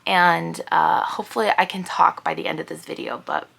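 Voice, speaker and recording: A woman vlogging about her homeschool year in casual chat, hoarse from losing her voice and laughing often as she rambles. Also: fairly low pitch, steady tone, fairly quick, fairly bright tone, slight background noise.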